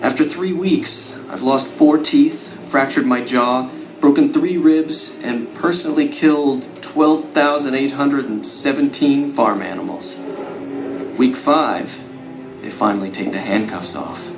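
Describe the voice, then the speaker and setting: A man's voice: mid-range voice, measured pace, lightly acted. A man playing a nervy young office worker turned cocky supervillain trainee in a motion comic. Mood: wry narration. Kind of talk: motion comic acting and first-person narration. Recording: roomy sound over clear music.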